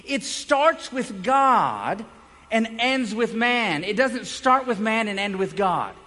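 A man preaching a sermon as a pastor, speaking emphatically with dramatic pauses and swings between loud and hushed delivery. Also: high voice, very animated. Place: large indoor room, preaching to a congregation. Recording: echoey hall with long reverb.

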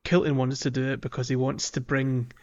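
A man's voice: medium pitch, little pitch movement, fairly quick. Man chatting casually, slightly dull audio. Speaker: podcast co-host. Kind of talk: casual chat about a video game.

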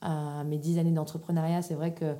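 Female podcast guest, thirties, Parisian. Low, relaxed voice, informal and hesitant delivery. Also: fairly quick. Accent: Parisian French. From Paris region, France. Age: 30s. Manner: informal, hesitant, thinking aloud. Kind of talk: casual interview answers.

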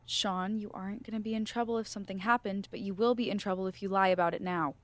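A mid-pitched American woman acted by a woman as a corporate official in an audio drama. She is controlled and firm, coercive beneath a calm surface. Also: fast talker, close to an everyday voice. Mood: reassuring.

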